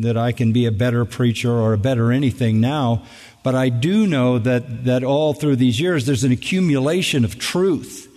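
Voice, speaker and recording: An older man, a pastor, answers questions from the congregation at a measured pace. He talks in a thoughtful way and adds touches of humor. His voice carries a long reverb from a large church hall. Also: mid-range voice, darkish tone. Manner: measured, reflective, with light humor. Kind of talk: live Q&A answers.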